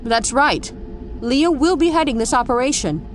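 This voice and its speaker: An actress playing an authoritative, composed army general in an audio drama. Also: female voice, sits high, animated, normal speed, a little hiss or hum. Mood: commanding.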